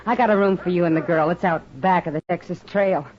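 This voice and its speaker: Actress as a low-voiced, no-nonsense frontier woman. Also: fast talker, darkish tone, lightly acted. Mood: friendly, practical. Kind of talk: 1950s radio western acting. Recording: dull vintage radio recording, faint hiss.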